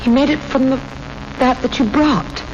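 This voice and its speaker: An actress playing a fearful, awed granddaughter in a 1970s radio drama, halting and frightened. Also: female voice, sits high, natural voice. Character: fearful, awed granddaughter tending an old man. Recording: muffled, noisy old radio recording.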